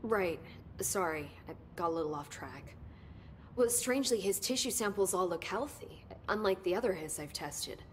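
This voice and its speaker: An actress playing an eager, nerdy research scientist in a video game, chatty, pedantic and easily sidetracked. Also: female voice, fairly low pitch, expressive, moderate pace, a little hiss or hum, natural voice. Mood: apologetic. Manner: chatty, pedantic, rambling about details. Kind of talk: video game dialogue acting.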